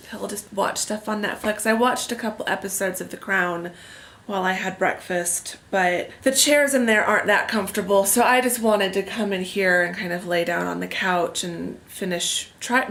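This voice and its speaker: A woman's voice: mid-range voice, vocal fry, a bit bright. A woman vlogger chatting casually on camera with a head cold, sounding stuffed up and a little tired. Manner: chatty, rambling, self-deprecating. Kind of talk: casual vlog chat. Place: indoors at a family house, on a couch.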